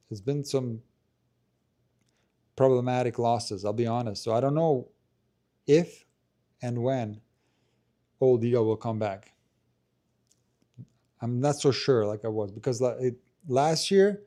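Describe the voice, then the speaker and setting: A man with a low, not very strong voice, hosting a live tennis Q&A and chatting casually into a handheld mic held close. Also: dark tone. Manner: chatty, hedging, many fillers and restarts. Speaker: tennis channel host. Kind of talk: live stream Q&A, casual chat. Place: indoors, talking to camera.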